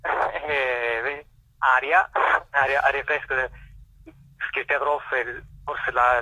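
Guy being interviewed, super bright edgy voice, dry close sound, keeps hunting for words. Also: medium pitch, breathy edge, dry recording, low-quality recording. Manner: hesitant, halting, searching for words. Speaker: theatre festival director, interview guest. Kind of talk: unscripted interview answers. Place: radio interview.

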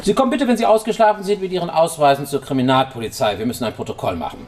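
An actor playing a sardonic police inspector who questions people formally in a radio crime drama. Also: male voice, on the high side, very fast, slight background noise, lightly acted. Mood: official, brisk. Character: dry, probing male police inspector on a night call. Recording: studio radio play, faint music.

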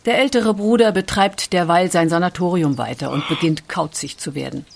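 A woman's voice: lowish voice, normal speed, gravelly. A woman reading scripted documentary narration as a narrator.

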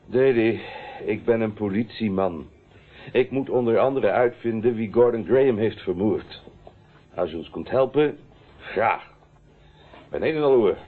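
An actor playing a brusque, sceptical police detective in a radio drama, dry and sarcastic, on an old, noisy recording. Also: male voice, on the low side, measured pace, long pauses, phone-quality sound.

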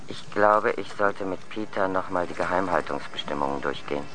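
Low, crisp-edged mission colleague. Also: male voice, brisk, fairly bright tone, low-quality recording. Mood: sober, guarded. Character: matter-of-fact male space mission colleague, guarded and tactful. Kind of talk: radio drama acting.

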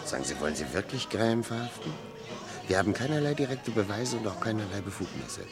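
An actor playing a cautious, doubting detective's partner in a German radio crime drama, hesitant and worried. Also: male voice, on the low side, sing-song, fast. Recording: clear music behind the voice. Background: music.

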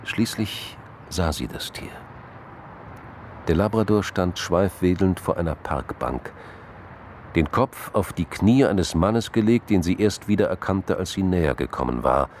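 A male narrator with a low voice reads literary crime fiction for a radio play. His phrasing is measured and dramatic, broken by deliberate pauses, with faint noise behind him. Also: expressive.

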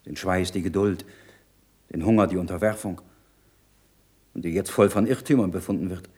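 An actor playing an earnest, troubled learned monk in a radio play, speaking in a measured, solemn, rhetorical way. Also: male voice, deep voice, steady tone, warm tone, husky. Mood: urgent, pleading. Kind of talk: German radio play acting.

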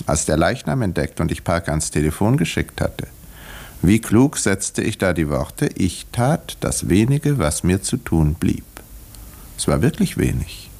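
An actor playing an urbane, cynical doctor and self-satisfied murderer, speaking with smug, reflective irony in a 1950s German radio drama. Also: male voice, deep voice, gravelly, a little mellow, exaggerated voice.

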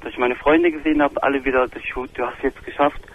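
A man's voice: mid-range voice, steady tone, quick pace. A man who is a native Romani speaker, calling a radio show over the telephone and giving an emphatic personal account. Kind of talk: personal account in casual chat. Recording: thin, narrow telephone line.

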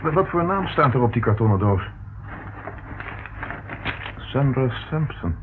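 A man's voice: mid-range voice, very animated, unhurried. An actor playing a dogged, polite male police detective in a Dutch radio play, inquisitive and businesslike. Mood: probing, musing. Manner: inquisitive, businesslike, thinking aloud. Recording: tinny, band-limited old radio recording with noise.

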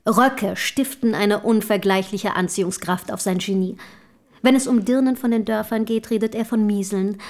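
A woman's voice: medium pitch, a little mellow, natural voice. Woman acting a fervent, provoking young literary woman. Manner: theatrical, pointed, literary diction. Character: passionate young literary woman, bold, provoking admirer of a poet. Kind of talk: German radio play acting.

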